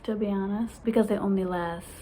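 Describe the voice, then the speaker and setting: A woman in her twenties who has a stutter, excitedly chatting to her viewers in a casual vlog. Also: medium pitch, a little fast, a little hiss or hum. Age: 20s. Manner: stutters, with repeated sounds and restarts; excited, laughs often. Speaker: vlogger.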